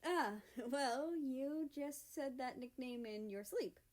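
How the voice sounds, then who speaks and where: A woman's voice: sits high, slow pace, a little mellow, pitched above the performer's own voice. Woman reading as a playful, teasing young man.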